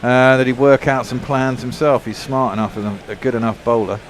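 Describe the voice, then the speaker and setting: A man's voice: mid-range voice, level tone, a little fast, a little hiss or hum. A man commentating on cricket in casual chat, thoughtful and loose with filler words. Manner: thoughtful, lots of "you know" fillers.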